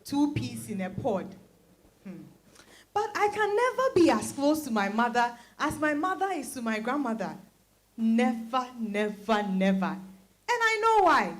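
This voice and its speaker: Monologue: educated, conflicted daughter woman actor, high voice, deliberate and reflective. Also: big pitch swings. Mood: reflective, rueful. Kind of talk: dramatized literary reading.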